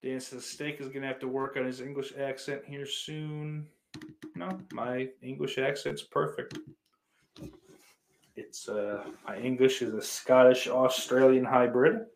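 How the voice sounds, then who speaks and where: A man's voice: medium pitch, steady tone, unhurried, vocal fry, a little hiss or hum. A man livestreaming in casual chat, rambling and swearing freely while reading out messages from his viewers. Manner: profane, rambling, self-deprecating. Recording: roomy echo.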